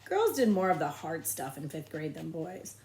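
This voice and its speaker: A woman's voice: sits low, big pitch swings, moderate pace, a little mellow. Woman storyteller in an echoey room. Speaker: librarian reading aloud. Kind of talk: children's novel read-aloud storytelling.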